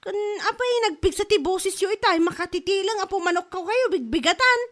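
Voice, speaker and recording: Woman acting very high-pitched, melodramatic debtor mother. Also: animated, brisk. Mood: frantic. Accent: Ilocano Filipino. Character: hard-up, excuse-making Filipino mother dodging her debts. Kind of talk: comedy audio drama.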